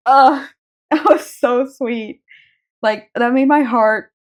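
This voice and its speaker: A woman's voice: fairly high pitch, slow pace. A woman co-hosting a casual podcast, chatting in an informal, effusive way. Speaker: podcast co-host. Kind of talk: casual book chat.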